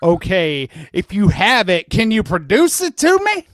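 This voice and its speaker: High-voiced man playing a probing deposition lawyer. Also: wildly swooping pitch, unhurried, fairly bright tone, dry recording, studio quality, exaggerated voice. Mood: pressing. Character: male lawyer questioning a witness in a deposition, insistent. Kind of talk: dramatic reading of a deposition transcript.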